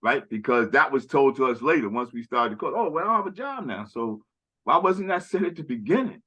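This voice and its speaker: A man's voice: mid-range voice, very animated, fairly quick, bright, forceful tone, breathy edge, dull audio. A man speaking in a casual conversational chat as a podcast guest, rambling and often tagging "right?" and "you know".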